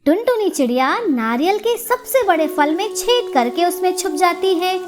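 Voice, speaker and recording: A woman's voice: very high-pitched, animated, moderate pace. A woman reading scripted narration for a children's story.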